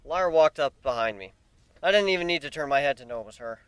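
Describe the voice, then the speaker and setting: A man's voice: a little high, lively intonation, fast, fairly bright tone, clean tone, dry recording. A man giving a dramatic first-person reading of a story as its narrator. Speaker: narrator of a fan fiction reading.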